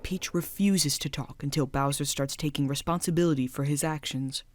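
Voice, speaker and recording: A man's voice: fairly high pitch, steady tone, normal speed, no room sound, studio quality. A man reading scripted storybook narration as the narrator of a dramatised tale.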